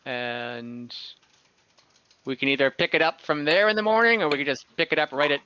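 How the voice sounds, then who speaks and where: Bright-voiced man running a tabletop game. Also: on the high side, smooth, dry recording, muffled recording. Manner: slow, halting narration with fillers. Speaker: game master. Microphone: home microphone, online call.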